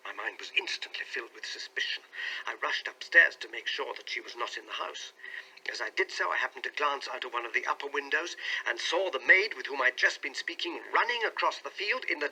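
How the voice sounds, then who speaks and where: Actor as troubled suspicious husband, very bright forceful tone, husky grain, earnest dramatic storytelling. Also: male voice, on the high side, full character voice, poor audio. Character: anguished, suspicious husband recounting his troubles. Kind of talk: dramatic audiobook reading in character.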